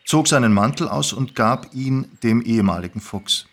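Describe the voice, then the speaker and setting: A man with a medium-pitched voice narrates a story. He reads from a script in the manner of an audiobook. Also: normal speed.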